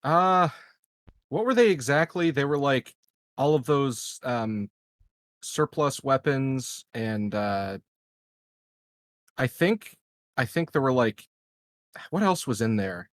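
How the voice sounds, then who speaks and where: A man with a mid-pitched voice plays in a tabletop game. He speaks casually and hesitantly, searching for his words, in very dry audio. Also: animated, takes it slowly, pauses often, no room sound. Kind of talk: casual improvised game talk.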